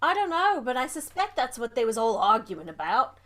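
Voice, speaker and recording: A woman acts a young servant girl in a radio play, high-pitched and very animated. She is loyal and deferential, chatting in a plain, colloquial servant's way. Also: brisk. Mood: earnest testimony. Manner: colloquial, ungrammatical servant speech. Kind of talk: audio drama acting.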